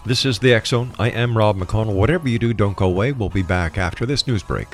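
A man's voice: on the low side, quick pace, soft background music. A man presenting a radio talk show with an emphatic announcer's delivery in short phrases.

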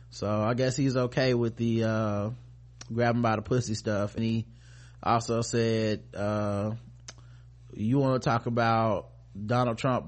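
An American man co-hosting a podcast, chatting casually about the news in a profane, slangy, sardonic way. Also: on the low side. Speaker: podcast co-host. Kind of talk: casual news commentary chat.

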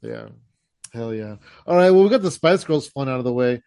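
Laid-back american host, dry sound. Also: male voice, medium pitch, huge pitch swings, brisk, creaky, darkish tone, dry recording. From United States. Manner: easygoing, says "hell yeah", laughs along. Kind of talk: casual interview.

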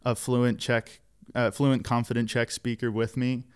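A young man chatting casually on a podcast, relaxed and a bit hesitant. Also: medium pitch, a little slow, clear, resonant tone. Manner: relaxed, hesitant, with fillers and restarts. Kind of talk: casual chat.

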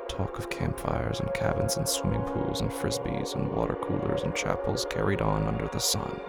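A man with a gravelly, creaky voice giving brooding, hushed, literary narration in a horror audio drama. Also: extremely low pitch, very animated, normal speed, loud music behind the voice. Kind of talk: scripted literary narration, solemn and slow.

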